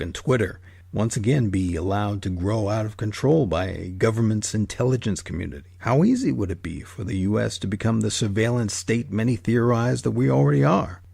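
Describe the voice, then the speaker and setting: Male host-narrator, gravelly and closely dry-recorded, formal and deliberate scripted documentary read. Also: fairly low pitch, a little mellow, no echo.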